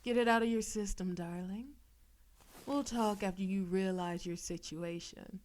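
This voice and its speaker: A woman's voice: mid-range voice, fairly even intonation, a little mellow, close to an everyday voice. A woman playing a possessive, demon-possessed girlfriend in an intimate audio roleplay, sweetly condescending and teasing with veiled menace. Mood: controlling, menacing. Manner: slow, coaxing, teasing, with pet names and veiled threats.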